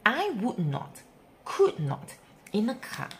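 Very animated woman reading a children's story. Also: mid-range voice, slow, creaky. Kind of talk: expressive read-aloud of a rhyming picture book for young children.

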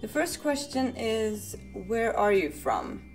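Soft-spoken young woman. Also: fairly high pitch, big pitch swings, takes it slowly, soft background music. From Sweden. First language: Swedish. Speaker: vlogger. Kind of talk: casual talk to camera. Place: living room indoors.

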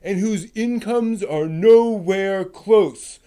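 A man voicing an indignant puppet fan, declaiming slowly and emphatically in a comic dramatic reading. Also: high-pitched, dark tone, clear, resonant tone. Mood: aggrieved. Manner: slow, emphatic, aggrieved declaiming.

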